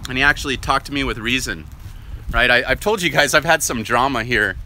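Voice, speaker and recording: A man with a loud, piercingly bright voice talks his thoughts out while walking down a residential street, with no clip-on mic. He rambles in a chatty way and keeps checking in with his viewers. Faint traffic hums behind him. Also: mid-range voice, brisk, low-quality recording. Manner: rambling, chatty, often asks "right?". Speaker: vlogger. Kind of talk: casual walk-and-talk monologue. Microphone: no worn mic, camera or phone at hand.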